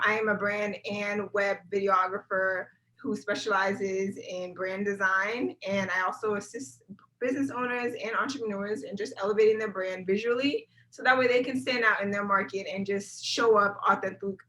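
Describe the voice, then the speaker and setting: Bright-voiced energetic woman guest. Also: mid-range voice, moderate pace, poor audio. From South Florida. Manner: energetic, repeats words when thinking, conversational. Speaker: guest, brand videographer. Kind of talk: casual interview chat.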